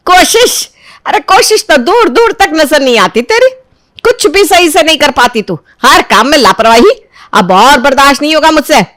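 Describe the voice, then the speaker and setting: A woman acts out a domineering middle-aged mother-in-law from a cartoon tale. She nags and accuses in a sharp, theatrical way, pushing her voice up, with a close, dry sound. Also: sits high, animated, normal speed, no echo, voice pushed up. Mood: bitter, scolding. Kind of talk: cartoon moral-story voice acting.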